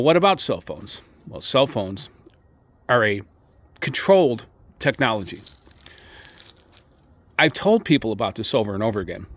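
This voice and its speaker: A man hosting a radio hobby channel, giving an informal opinion monologue to viewers in an emphatic, deliberate manner with pointed pauses. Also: medium pitch, fairly bright tone, no echo.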